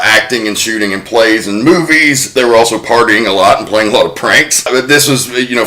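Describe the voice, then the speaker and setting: A man's voice: fairly low pitch, pushed and bright, rough recording. A man hosting a podcast, chatting casually and telling a story with the odd swear word thrown in. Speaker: podcast host.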